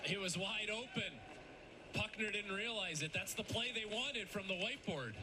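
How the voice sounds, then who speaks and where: A man's voice: high voice, moderate pace. A man giving color analysis during a hockey broadcast, explaining the plays. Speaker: color commentator. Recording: broadcast with loud arena noise.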